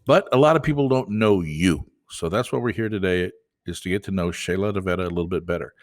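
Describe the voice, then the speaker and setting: A man hosting a business podcast, interviewing a guest in a casual, teasing way with laughs and halting restarts. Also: low voice. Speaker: podcast host.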